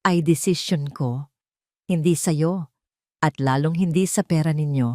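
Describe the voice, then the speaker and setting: Woman acting protective single mother, low voice, proud, firm, emphatic, dry audio-drama recording. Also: unhurried, dark tone, no room sound. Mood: firm defiance.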